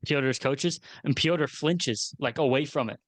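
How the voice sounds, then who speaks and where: A man hosting a casual sports podcast, talking in a relaxed, easygoing way with some hesitant pauses. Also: medium pitch, dry recording. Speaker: sports show host.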